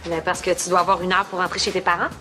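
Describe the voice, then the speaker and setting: An actress with a Québécois accent playing a sharp-witted woman who needles her friends playfully. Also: lowish voice, flat delivery, fast talker, lightly acted. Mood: teasing. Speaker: sitcom character, friend. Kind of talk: sitcom acting. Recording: TV sitcom audio with music and audience.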